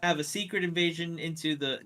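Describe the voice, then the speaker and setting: A man's voice: on the high side, steady tone, fairly quick, sharp tone. A man co-hosting a casual pop culture podcast, opinionated and swearing freely as he talks. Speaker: podcast co-host.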